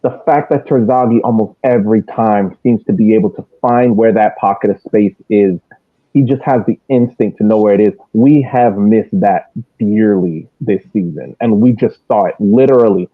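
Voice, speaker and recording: A man's voice: lowish voice, moderate pace. Man on tinny phone-like line talking soccer. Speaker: sports podcast host. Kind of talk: casual match analysis. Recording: thin phone-like band-limited sound.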